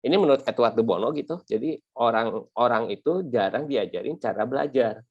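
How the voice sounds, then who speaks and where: A man's voice: mid-range voice, a little fast, slightly rough, highs cut off. A man hosting an interview who asks short, friendly questions and throws in brief interjections. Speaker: interview host.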